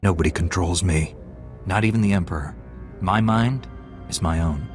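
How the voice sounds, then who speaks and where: Guy as deep voiced jedi hero, firm and earnest, game dialogue with music behind. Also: normal speed, natural voice. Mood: defiant, firm. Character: resolute, righteous Jedi knight, male hero. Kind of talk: dramatic video game hero acting.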